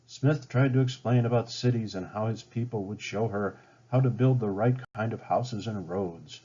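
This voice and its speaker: A man's voice: fairly low pitch, normal speed, warm tone, husky. A man reading a children's story aloud as a storybook narrator. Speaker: storybook reader. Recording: dull lo-fi home recording.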